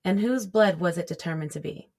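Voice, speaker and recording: A tough female prosecutor in a courtroom drama. Her voice sits a little low. She is cool and formal, measuring out each question. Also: a little fast, a little mellow. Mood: cool, probing. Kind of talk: courtroom audio drama acting.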